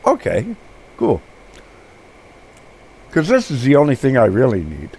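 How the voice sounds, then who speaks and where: A hoarse man hosts his own gameplay channel, talking slowly and casually. He rambles, trailing off into fillers and restarting his sentences, and faint game noise runs underneath his voice. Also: medium pitch, wildly swooping pitch, a little mellow. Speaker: gaming channel host.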